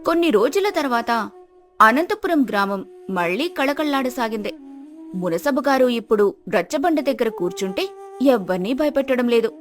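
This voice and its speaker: Woman, storyteller, scripted children's tale narration, higher-pitched voice, soft music behind. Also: animated, moderate pace.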